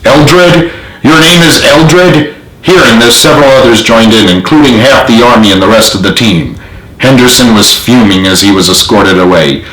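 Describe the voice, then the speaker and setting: A man's voice: lowish voice, animated, fairly quick, a bit bright, a little hiss or hum. A man reading his own story as scripted narration, in a roomy recording. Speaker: author narrating own book.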